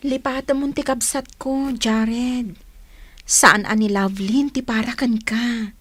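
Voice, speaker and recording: An actress with a Filipino accent playing a stern, protective elder sister in a village radio drama. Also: female voice, on the high side, fairly even intonation, takes it slowly, dry recording. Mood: firm, warning. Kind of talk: Ilocano radio drama acting.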